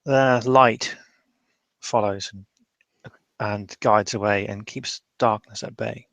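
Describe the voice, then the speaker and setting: A man improvising narration for a tabletop roleplay, describing things in a measured way. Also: fairly low pitch, fairly even intonation, gravelly, fairly bright tone, dry recording.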